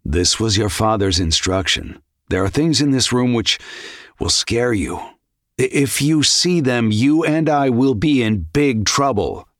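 A man's voice: mid-range voice, animated, unhurried, a bit bright, no room sound, clean studio sound. An actor playing a loyal, cautious male servant in a children's story, respectful and a little hesitant. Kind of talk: children's audio story acting.

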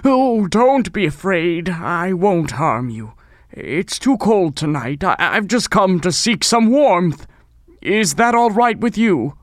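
A man acts out a big, kindly talking bear in a fairy tale for children. The delivery is unhurried and reassuring, with a lot of melody in it. The sound is close and dry. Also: sits high, lively intonation, no echo. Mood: gentle, pleading. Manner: slow, gentle, reassuring. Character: big, furry, gentle, friendly talking bear. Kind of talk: children's storybook audio drama.

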